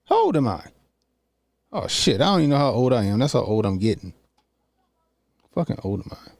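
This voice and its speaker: A man's voice: mid-range voice, sing-song, fairly quick, gravelly, a little mellow, no echo. A man, chatting loosely and profanely while reacting to a video. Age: 40s. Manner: loose, profane, rambling with slang. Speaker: commentary streamer. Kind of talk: casual reaction stream.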